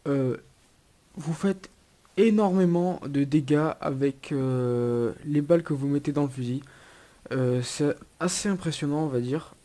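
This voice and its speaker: A man's voice: on the high side, mellow. A young man with a cold, giving a casual gameplay tutorial with many hesitations and restarts. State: has a cold. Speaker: gaming YouTuber.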